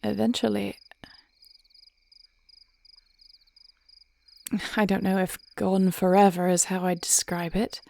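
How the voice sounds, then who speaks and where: A woman playing a thoughtful, devoted dragon queen wife in an intimate fantasy audio roleplay, speaking in measured, formal, tender phrases. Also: mid-range voice, fairly even intonation, gravelly, mellow, natural voice. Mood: musing, hopeful.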